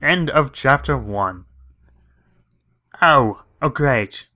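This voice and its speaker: A man's voice: medium pitch, animated, extremely slow, sharp tone. A man giving let's play commentary, reading game text aloud and adding jokey, rambling asides. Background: game music.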